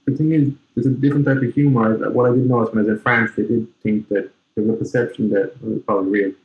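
A man hosting a casual interview, talking in a relaxed, loose way with lots of fillers. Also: mid-range voice, fast, narrow, tinny audio. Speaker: podcast host.

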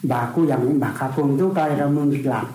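A man's voice: medium pitch, fast, dark tone, reverberant. A man in his sixties from East Java with a Javanese accent, reminiscing hesitantly in a casual interview, with many fillers and restarts. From East Java, Indonesia. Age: 60s. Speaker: interviewee, retired village official.

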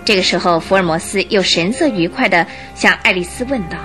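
A woman reading scripted narration for a radio drama in an expressive storytelling manner. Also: medium pitch, fairly quick, music in the background.

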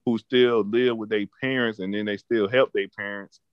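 A man's voice: on the low side, steady tone, normal speed, clear, resonant tone, no room sound, dull audio. A man in his twenties chatting casually, rambling and profane, often repeating words as he starts a thought. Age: 20s. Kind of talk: casual chat.

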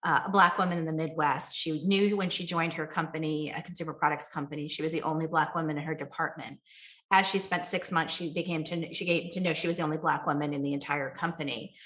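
A woman's voice: low voice, fairly even intonation, fast talker, a bit bright. A woman author answering questions in a virtual talk, earnest and reflective, with fillers and restarts. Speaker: author and guest speaker.

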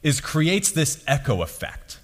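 A young man with a deep voice delivers a sermon in a relaxed, conversational tone, poking fun at himself. His pitch moves a lot from line to line. The sound carries the long reverb of a large hall. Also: a little slow. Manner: lively, conversational, self-deprecating storytelling. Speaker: pastor.

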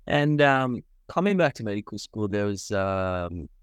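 A man with a very deep voice talks earnestly about his life, often pausing to gather his words. The sound is dry and close. He is from Sri Lanka. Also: big pitch swings, clear, resonant tone, no room sound. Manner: earnest, with frequent pauses and fillers. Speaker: guest, medical doctor. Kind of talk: reflective interview answers.

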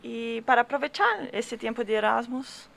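A woman's voice: on the high side, expressive, normal speed, creaky, a bit bright. A woman in her twenties from Brazil, Portuguese her first tongue, speaking Spanish hesitantly as a guest and searching for her words. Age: 20s. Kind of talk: casual conversation.

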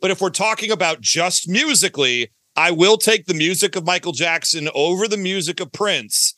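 A male podcast guest with a high, bright, forward voice. He talks casually in a playful, showman-like way full of banter, recorded dry and close. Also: moderate pace, dry recording. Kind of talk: casual interview chat.

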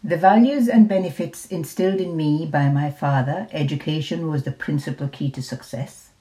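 A woman, a retired nurse reading her own memoir in a measured, deliberate way. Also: sits low, dark tone, low-quality recording. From Jamaica. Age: 70s.

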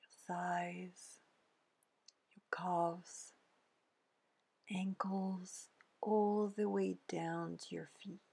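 A woman leading a guided meditation in a soft, breathy, near-whispering voice, gently soothing, with pauses between short phrases. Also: fairly low pitch, level tone. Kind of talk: guided meditation, scripted narration.

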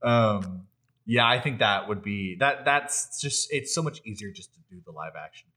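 A man with a fairly low voice co-hosts a podcast. He speaks in a casual, informal way, as in a relaxed conversation between fans. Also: moderate pace. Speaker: podcast co-host. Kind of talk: casual chat.

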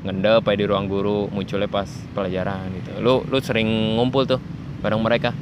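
A low-voiced man hosting a laid-back chat, teasing his guest and chiming in with short replies. Traffic noise is clearly heard behind him, recorded outdoors on a street. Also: flat delivery, fairly quick. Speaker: interviewer host. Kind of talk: casual interview.

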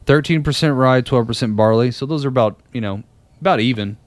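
A young man co-hosting a podcast, wandering through a story in a loose, rambling way and laughing as he goes. His voice sits at an ordinary middle pitch, and the recording is close and dry. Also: a little fast, dry recording. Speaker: podcast co-host. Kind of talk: casual chat.

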